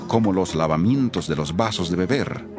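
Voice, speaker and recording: Very deep, expressive male narrator. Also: normal speed. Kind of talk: scripted scripture narration. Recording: faint music bed under voice. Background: music.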